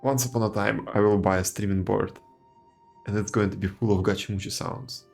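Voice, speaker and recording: A woman's voice: extremely low pitch, steady tone, fairly quick, vocal fry, darkish tone. A woman giving casual live commentary as she streams a video game. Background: game music.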